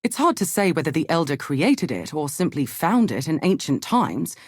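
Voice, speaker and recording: Serious bookish fantasy game lady, kinda low raspy voice, measured and grave. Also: very animated, a little mellow, no echo, clean studio sound, heavily acted. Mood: thoughtful, informative. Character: earnest, scholarly woman survivor of a fallen island. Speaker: game character giving lore and quests. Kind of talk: scripted video game dialogue.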